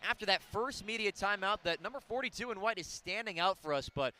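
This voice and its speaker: A man's voice: sits high, expressive, brisk, pushed and bright. An American man doing live radio play-by-play of basketball, with clipped, descriptive calls. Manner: clipped, descriptive calls that rise on big plays. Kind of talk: live sports commentary. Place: indoor basketball arena. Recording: clear arena noise, bouncing basketballs. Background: game noise and basketball bounces.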